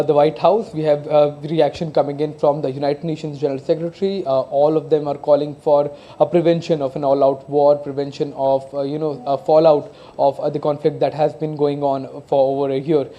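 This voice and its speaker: A man with an Indian accent giving live analysis as a news correspondent, speaking haltingly and often repeating himself. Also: a little high, little pitch movement, dull audio. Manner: halting, hesitant delivery with frequent repeated words.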